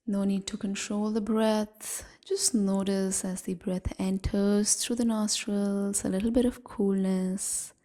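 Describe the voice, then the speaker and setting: A woman leading a guided meditation in a gentle, soothing, half-whispered voice, leaving long pauses between phrases. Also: medium pitch, little pitch movement. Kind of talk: guided evening body scan meditation.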